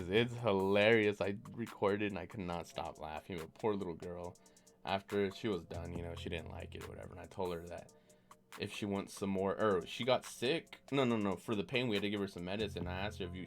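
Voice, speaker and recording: A man's voice: deep voice, fairly quick, soft background music. A man hosting a live stream, chatting casually from his bedroom and laughing and joking often. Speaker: stream host. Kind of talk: casual chat.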